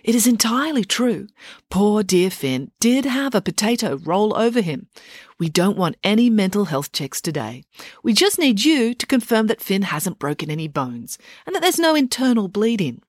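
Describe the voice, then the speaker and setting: A woman voicing a prim, polite girl who speaks gently and reassuringly. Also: mid-range voice, big pitch swings, normal speed, dry recording, studio quality, voice pushed up.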